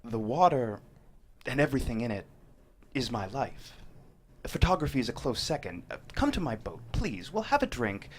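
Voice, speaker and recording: A man's voice: medium pitch, big pitch swings, normal speed, natural voice. Guy acting a creepy polite killer, condescending n insinuating, voice swings around a lot, bit of room noise. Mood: smooth, condescending. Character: menacing male killer hiding behind smooth civility. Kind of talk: screenplay table read acting.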